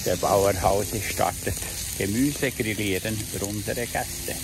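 Older male farmer-vlogger, Swiss German accent, unhurried halting delivery, phone recording with loud engine and outdoor noise. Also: fairly low pitch, steady tone. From Switzerland. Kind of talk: casual video diary. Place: tractor cab and open field. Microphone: phone at arm's length.

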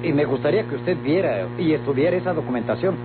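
An actor playing a menacing, scheming Mexican landowner in an old radio drama. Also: male voice, a little high, fast, lightly acted. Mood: smooth, courteous. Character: menacing scheming landowner villain, outwardly courteous. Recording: tinny vintage radio recording with hum, music.

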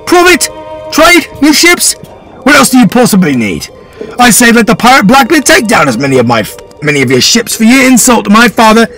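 A man reading aloud, voicing a hot-tempered young boy who shouts in high, shrill outbursts. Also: full character voice, poor audio.

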